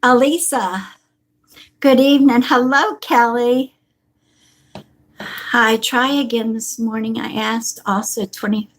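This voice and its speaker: Woman psychic hosting a live stream, high voice, slow delivery, congested from a cold. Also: low-quality recording. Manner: slow, warm greetings, calls viewers honey and sweetheart. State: stuffy with a cold. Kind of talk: live stream greeting viewers. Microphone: device on a table.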